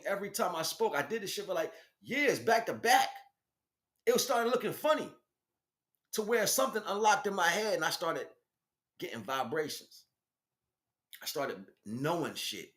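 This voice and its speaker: Animated higher-voiced American man, streetwise and roomy. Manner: emphatic, repetitive, streetwise slangy delivery. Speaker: spiritual teacher and stream host. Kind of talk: informal spiritual monologue with slang.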